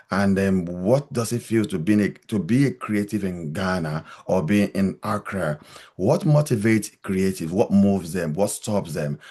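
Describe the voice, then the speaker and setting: Very deep-voiced male moderator, rambling and hesitant. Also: darkish tone. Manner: rambling, hesitant, filler-heavy. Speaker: panel moderator. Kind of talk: moderating a panel discussion.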